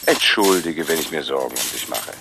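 An actor playing a suspicious, easily hurt boyfriend on a phone call in a naturalistic radio drama. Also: male voice, rumbling low, animated, a little fast, creaky, noisy background, exaggerated voice.

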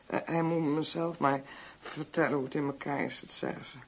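An actress playing a middle-aged alcoholic mother in a radio drama, halting and slurred, bitter and lonely, with groans and repeats. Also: deep voice, steady tone, breathy edge. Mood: despairing. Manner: halting, repetitive, groaning and sighing.

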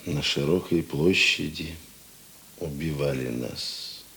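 An actor playing a stern, world-weary middle-aged police chief in a radio drama, with a husky, gruff, dry delivery. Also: male voice, extremely low pitch, slow, sharp tone, exaggerated voice. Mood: grave.

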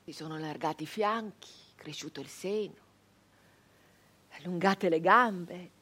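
An actress playing a haunted, defiant young peasant woman turned brigand in a radio monologue, with halting, repetitive, intense delivery. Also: lowish voice, pushed and bright, husky, full character voice. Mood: wounded, subdued.

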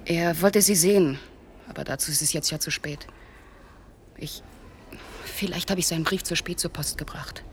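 A woman's voice: low-pitched, moderate pace, long pauses, slight background noise, lightly acted. An actress playing a mysterious young woman in her early twenties in a radio crime play, terse and guarded. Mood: subdued, wary. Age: young adult. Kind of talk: radio crime drama dialogue.

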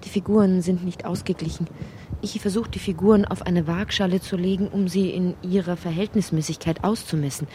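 A woman playing a whimsical narrator in a literary radio play, with a husky voice. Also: fairly low pitch, steady tone, fairly quick, warm tone, slight background noise, natural voice. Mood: wistful, searching.

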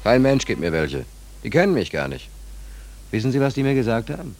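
An actor playing a down-and-out American sailor in a 1940s German radio play, weary, wry and stubborn, with colloquial, sardonic, rambling talk. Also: male voice, medium pitch, sing-song, a little fast, darkish tone. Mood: bitter, emphatic.

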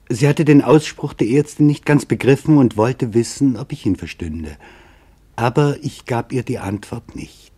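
A man playing a sombre reporter in a scripted radio play, narrating in a grave, measured, reflective way with a husky voice. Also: medium pitch, mellow. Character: sombre male reporter recounting a camp survivor's testimony.

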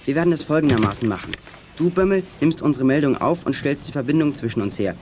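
A man's voice: a little high, expressive, quick pace, noisy background, natural voice. An actor playing a bold teenage boy detective who leads his gang in a German children's radio play, brash and commanding. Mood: confident, brisk.

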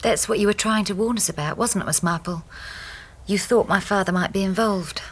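An actress playing an earnest, troubled young wife in a radio drama, well-spoken and politely insistent. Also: female voice, medium pitch, fairly quick, breathy edge. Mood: earnest questioning.

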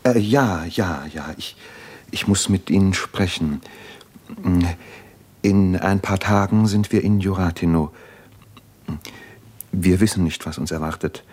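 An actor playing an earnest, thoughtful educated man in a 1950s radio drama, deliberate and searching for words. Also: sits low, a little mellow, husky.

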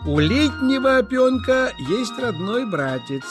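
A man narrating scripted nature tales for children in an expressive, lyrical storytelling manner. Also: very high-pitched, takes it slowly, over music.

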